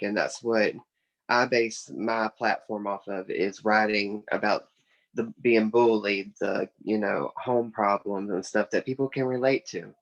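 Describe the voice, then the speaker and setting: A young man with a Southern American accent and a fairly low voice. He speaks casually in an interview, in an earnest, plain-spoken way. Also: a bit bright, muffled recording. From South Carolina, United States. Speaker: interview guest, author and musician.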